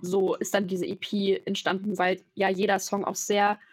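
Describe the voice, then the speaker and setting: A woman's voice: medium pitch, flat delivery, normal speed, dry recording. A young woman in her twenties from Germany, chatting loosely as a musician guest, with lots of fillers and slang. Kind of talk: casual interview chat.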